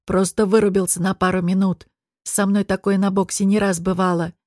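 Woman doing a gruff, grumbling young man. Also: mid-range voice, flat delivery, fairly quick, a little mellow, no room sound, studio quality, lightly acted. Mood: dismissive, grumbling. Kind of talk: audiobook character dialogue.

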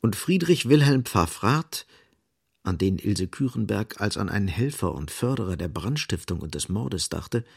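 An actor as the grave, sardonic narrator of a literary radio drama, speaking deliberately and with dramatic emphasis. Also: male voice, lowish voice, lively intonation, vocal fry, breathy edge, no room sound, clean studio sound, full character voice. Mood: measured.

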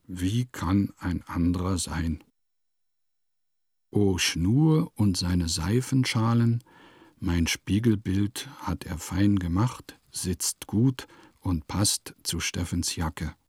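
Radio drama: wry period diarist, declamatory and clipped, unhurried delivery, lowish register, very dry sound. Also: male voice, a little mellow, breathy edge, dry recording, exaggerated voice. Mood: amused, wry, then musing. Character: wry, theatrical male diarist of bygone small-court provincial life. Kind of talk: literary radio play monologue.

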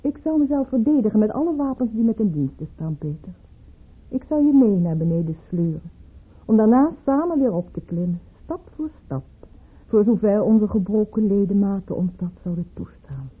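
An actress playing a proud, bitter aristocratic mistress in a radio play, with cutting, theatrical delivery. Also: female voice, mid-range voice, animated, moderate pace, husky. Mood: defiant. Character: proud, bitter, sharp-tongued aristocratic mistress, a widowed baroness. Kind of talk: Dutch radio play acting. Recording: muffled, phone-like old radio play recording.

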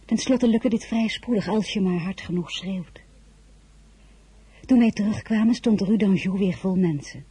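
A tender yet proud royal wife in a radio drama. Her voice is mellow and very breathy, intimate and hushed, full of feeling. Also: medium pitch, normal speed. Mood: tense, reflective. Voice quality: soft, breathy. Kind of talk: audio drama acting with first-person narration.

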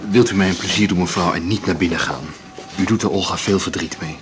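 An actor playing a protective boyfriend in a Dutch radio drama, firm and stiffly polite. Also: male voice, deep voice, fast talker, close to an everyday voice. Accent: Dutch from the Netherlands. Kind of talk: naturalistic 1980s radio drama acting. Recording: clear background noise.

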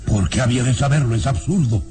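An actor playing a haughty, imperious castle baron in an old radio drama, evasive and threatening. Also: male voice, medium pitch, fast talker, dark tone, soft background music, lightly acted. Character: haughty, menacing male baron, owner of a castle.

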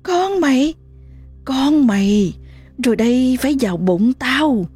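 Give me a shrill, gleeful flesh-hungry demon, voiced by a woman, husky and threatening. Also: lively intonation, unhurried, mellow, no room sound, soft background music, full character voice. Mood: menacing. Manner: shrill, cackling, menacing. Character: demon possessing a boy, gleeful and ravenous.

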